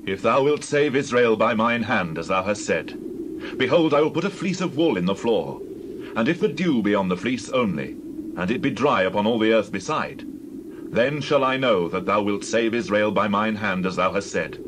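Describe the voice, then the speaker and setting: A man's voice: fairly low pitch, lively intonation, moderate pace, noisy background, natural voice. An actor playing a humble, anxious Israelite man pleading earnestly in a scripture drama.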